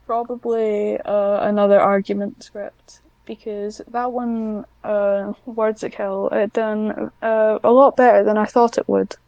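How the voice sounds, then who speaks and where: A woman's voice: mid-range voice, little pitch movement, a little slow, creaky, a little mellow, clean tone, no echo, muffled recording. A woman chatting casually as a podcast guest, hesitant and self-deprecating, with a dry sarcastic streak. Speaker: podcast guest, ASMR script writer. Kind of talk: casual interview chat.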